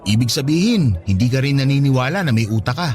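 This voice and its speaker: An actor playing a patient, good-natured young man in a radio drama, gently teasing and persuasive, with a Filipino accent. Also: medium pitch, lively intonation, fast, lightly acted. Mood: gently challenging. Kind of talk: conversational radio drama acting. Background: music bed.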